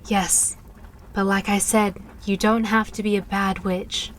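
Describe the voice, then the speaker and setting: A woman playing a gentle, reassuring young witch in an audio drama, soothing and coaxing. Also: medium pitch, flat delivery, measured pace, a little hiss or hum, close to an everyday voice. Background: music.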